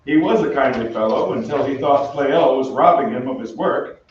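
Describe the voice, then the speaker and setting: A man's voice: mid-range voice, normal speed, darkish tone, slight background noise, close to an everyday voice. A man acting a stiff, drily suspicious police inspector in an old-time radio drama. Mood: probing, suspicious. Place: theatre stage. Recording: very echoey stage, distant muffled mic.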